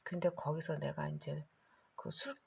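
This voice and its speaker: A middle-aged woman vlogging to her phone in a rambling, anxious monologue, her voice hoarse and her throat catching. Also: deep voice, steady tone, a little slow, a little hiss or hum. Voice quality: gravelly, husky. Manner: rambling, anxious, talks in long run-on stretches. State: hoarse, keeps clearing throat. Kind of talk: casual monologue to camera.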